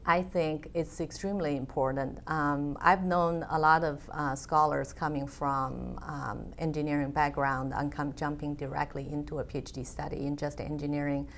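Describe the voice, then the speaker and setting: A woman's voice: sits low, fairly even intonation, moderate pace, creaky, few pauses, a bit bright, a little hiss or hum. A woman, a guest in an interview, speaking thoughtfully with frequent fillers. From China. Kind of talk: conversational interview.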